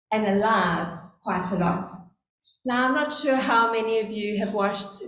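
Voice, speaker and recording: South African woman preaching in an echoey church. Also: a little high. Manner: earnest, storytelling, speaks slowly on purpose. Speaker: lay preacher. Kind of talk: sermon. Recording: very echoey church, faint hum.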